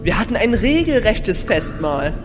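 A man speaking as part of an amateur audio play cast, in a lively, casual style. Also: sits high, unhurried, music in the background.

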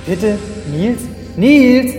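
A man's voice: high voice, expressive, extremely slow, full character voice. A man playing an exasperated, smug sidekick in an amateur comedy audio drama, speaking theatrically. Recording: roomy, long reverb, music behind. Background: music.

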